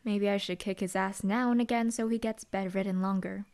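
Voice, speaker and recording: A woman's voice: medium pitch, fairly even intonation, brisk, a little mellow, natural voice. Woman voicing a teasing hellhound bodyguard. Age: young adult. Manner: dry, teasing, laid-back. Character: teasing young female hellhound demon bodyguard. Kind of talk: audio roleplay acting.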